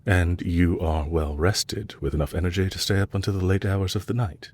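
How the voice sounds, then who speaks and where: A man playing a composed, courteous villain leader in an audio roleplay, speaking in a measured, formal way to a captive he is fond of. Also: sits low, steady tone, darkish tone. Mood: probing, courteous.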